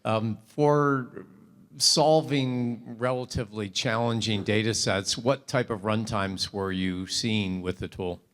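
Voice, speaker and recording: Low slow-speaking man from the jury. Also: fairly even intonation. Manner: self-aware, slightly apologetic. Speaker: jury member.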